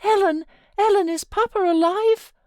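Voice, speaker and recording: A high-voiced young lady, played by a woman narrator in a classic storybook. She sounds breathless and tearful, an anxious, loving daughter pleading for word. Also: normal speed, a little mellow, dry recording, clean studio sound. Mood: frantic.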